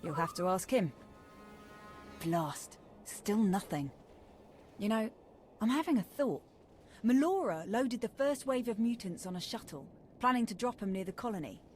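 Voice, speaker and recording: A woman's voice: mid-range voice, very animated, moderate pace, slight background noise, close to an everyday voice. An actress playing a wry, battle-hardened female major in a video game, with dry, informal, clipped delivery. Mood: dry, sardonic. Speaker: military officer character in a video game. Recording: loud game music behind. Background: loud music.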